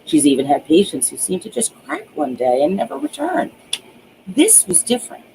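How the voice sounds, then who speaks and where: A woman reading a story aloud as its author, with an expressive, theatrical delivery in a dramatic audiobook style. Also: low-pitched, slight background noise, poor audio. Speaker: author reading their own book aloud.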